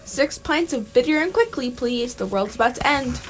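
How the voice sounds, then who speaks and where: A woman playing an unflappable alien man passing as human in an amateur radio comedy, brisk and matter-of-fact. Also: sits high, animated. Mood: deadpan, brisk. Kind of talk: amateur radio theatre acting.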